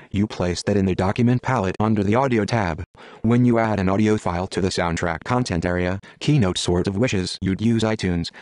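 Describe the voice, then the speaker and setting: Low-voiced man explaining software, dry sound. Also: no room sound. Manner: methodical, step-by-step explaining. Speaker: instructor. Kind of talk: screen-recorded software tutorial.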